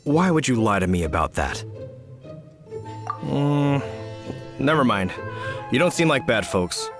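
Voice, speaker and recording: An earnest young knight from a fantasy game, with a lively, widely moving mid-pitched voice over game music. He is courteous, formal and eager. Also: unhurried. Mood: earnest, mildly suspicious. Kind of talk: video game voice acting. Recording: music playing behind.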